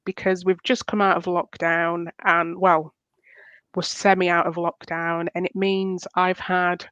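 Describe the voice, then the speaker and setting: A woman's voice: lowish voice, no room sound. Yorkshire woman on a dull headset. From Yorkshire, England. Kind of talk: casual video call chat. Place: home, video call. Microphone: headset microphone. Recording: dull, dry headset sound.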